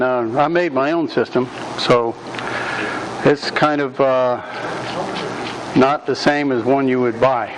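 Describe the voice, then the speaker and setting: A man giving a live workshop demonstration in a club meeting room, projecting his voice loudly and rambling along with frequent ums. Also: medium pitch. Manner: loud, projecting without a speaker system. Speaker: woodturning demonstrator. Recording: noisy room recording.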